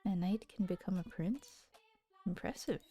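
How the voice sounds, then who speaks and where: Soft-spoken bookish brother, low and polite, played by a woman, warm tone. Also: normal speed, faint music behind, lightly acted. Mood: gentle. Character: clever bookish boy, gentle older brother.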